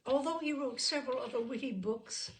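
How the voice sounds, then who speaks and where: A woman reading literary stories aloud as a storyteller, with expressive, theatrical phrasing. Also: high voice, brisk. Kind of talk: literary storytelling with informal introduction.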